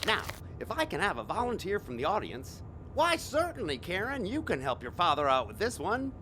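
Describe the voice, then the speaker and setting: A man's voice: high voice, huge pitch swings, normal speed, bright, forceful tone, exaggerated voice. An actor in an audio drama playing a flustered, defensive father who is a failed stage magician, exasperated and stammering. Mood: showman, nervous. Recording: clearly noisy background ambience.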